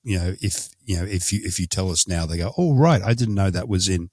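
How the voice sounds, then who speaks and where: A man with a very deep, mellow voice hosts an interview. He asks long, meandering questions, full of restarts and fillers. The sound is dry and close. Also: lively intonation, dry recording. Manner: rambling, halting, many fillers. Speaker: host.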